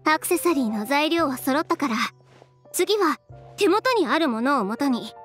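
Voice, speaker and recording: Cool, high-voiced young fashion designer. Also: female voice, animated, moderate pace. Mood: businesslike. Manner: cool, decisive, blunt. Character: confident, brisk, determined young female fashion designer. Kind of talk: Japanese anime game voice acting. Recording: music behind the voice.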